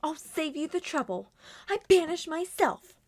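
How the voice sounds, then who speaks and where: Woman as moody robot teen girl: high-pitched, sardonic, dramatic audio roleplay. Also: big pitch swings, unhurried, a little mellow, a little hiss or hum. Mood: bitter, dramatic. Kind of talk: fan-made audio roleplay acting.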